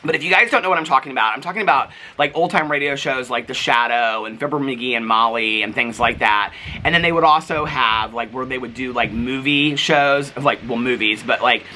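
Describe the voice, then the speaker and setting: Male vlogger, sharp bright tone, chatty and gushing, loose rambling delivery, slight background noise. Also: medium pitch, brisk. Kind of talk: casual, chatty vlog talk to viewers.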